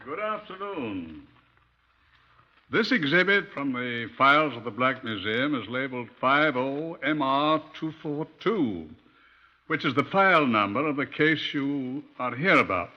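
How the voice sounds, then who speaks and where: Man playing sentimental British chief superintendent, formal, solemn, middle pitch, vintage radio drama, muffled audio. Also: expressive, natural voice. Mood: solemn, wry. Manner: deliberate, rhetorical, formal. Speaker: actor presenting a radio crime drama. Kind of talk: 1950s radio acting, storytelling introduction. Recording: dull, muffled 1950s radio recording.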